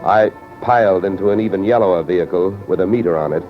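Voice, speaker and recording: Deep-voiced wisecracking radio detective, played by an actor. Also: male voice, fast talker, natural voice. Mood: wry narration. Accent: American. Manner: wry, clipped, sardonic. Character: wisecracking hard-boiled male insurance investigator. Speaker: lead actor and narrator. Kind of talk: 1940s-50s radio detective acting. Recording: dull old radio recording with music.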